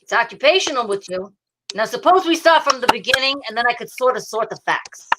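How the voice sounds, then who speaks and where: A woman's voice: fairly high pitch, lively intonation, brisk, noisy background, lightly acted. A woman playing a world-weary, wisecracking male homicide inspector in an old-time radio detective drama style. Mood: sardonic. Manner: sardonic, hard-boiled patter. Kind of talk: 1940s radio detective acting.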